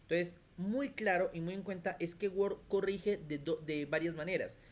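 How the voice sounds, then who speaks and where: A computer skills teacher, explaining step by step in an online class and checking in often with quick questions. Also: medium pitch, fairly even intonation. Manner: thinks aloud with restarts while demonstrating on screen.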